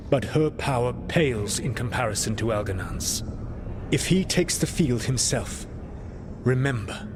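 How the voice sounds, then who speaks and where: An actor playing an ancient elven rebel leader in a video game, a grave and calculating mentor who speaks in measured, formal counsel. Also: male voice, mid-range voice, noisy background, natural voice. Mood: calculating, warning. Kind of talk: dramatic video game dialogue.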